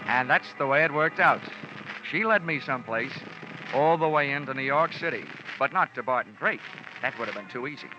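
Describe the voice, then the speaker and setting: A man's voice: mid-range voice, animated, fairly quick, natural voice. An actor playing a dry, wisecracking insurance investigator who narrates his case in a 1950s radio drama. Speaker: narrator and lead detective. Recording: dull old radio recording with music.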